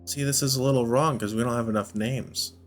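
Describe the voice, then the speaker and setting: A man's voice: medium pitch, fairly even intonation, fairly quick. A man giving casual let's play commentary, thinking aloud and often questioning himself as he goes. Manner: musing, self-questioning. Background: background music.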